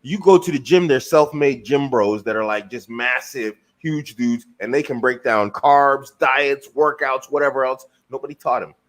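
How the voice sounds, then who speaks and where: A man's voice: mid-range voice, normal speed, few pauses. A man from the United States, a guest on a podcast, talking emphatically and throwing in rhetorical questions. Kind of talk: motivational podcast talk. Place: lives in Germany.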